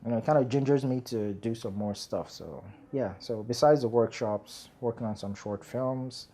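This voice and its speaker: A man, a screenwriter guest chatting in an interview, relaxed and rambling with many fillers. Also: medium pitch, normal speed. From Nigeria. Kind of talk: casual interview chat.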